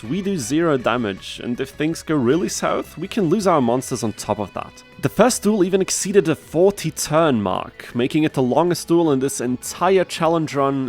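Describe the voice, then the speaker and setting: A man narrating a video game challenge from a casual script, with wry, sarcastic humor. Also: medium pitch.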